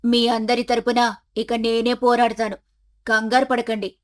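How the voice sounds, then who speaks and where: A woman voicing an older village mother-in-law who is indignant, complaining and self-important, in an animated story. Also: a little high, measured pace, natural voice. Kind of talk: animated moral story acting.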